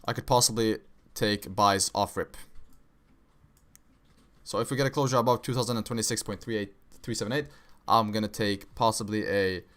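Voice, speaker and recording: A young man streams live, his speech carrying an American-sounding accent and a slightly low voice. He talks casually and slangily through trading setups, tossing in "bro" and "right?" as he goes. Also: fairly even intonation, moderate pace, a bit bright. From Kosovo.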